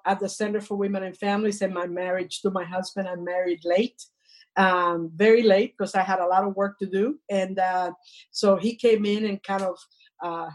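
Older woman from Cuba, Spanish native; husky poet guest, earnest and measured. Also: medium pitch, a little mellow, poor audio. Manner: earnest, measured recitation with repeated refrains. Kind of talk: interview and poetry reading.

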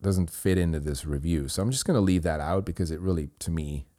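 Low-voiced middle-aged Swiss man. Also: fairly quick, dark tone. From Switzerland. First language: Swiss German. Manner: earnest, defensive, rambling with restarts. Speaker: podcast host. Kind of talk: responding to a listener review.

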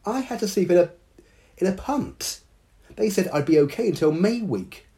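A man voicing an anxious, excitable young female mermaid in a storybook reading. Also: medium pitch, moderate pace, pitched above the performer's own voice, natural voice. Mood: distraught. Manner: emotional, pleading.

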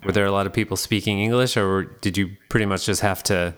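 Male podcast host, low voice, casual and filler-heavy. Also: fairly even intonation, fairly bright tone. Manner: chatty, quick asides, filler-heavy. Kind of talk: conversational interview.

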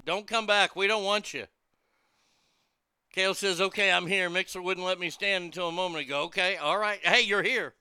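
Brash, bright-voiced talk radio host. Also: male voice, sits high, fairly even intonation, moderate pace, smooth, dry recording. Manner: loose, irreverent, crude, reads listener messages aloud. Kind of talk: casual talk radio banter.

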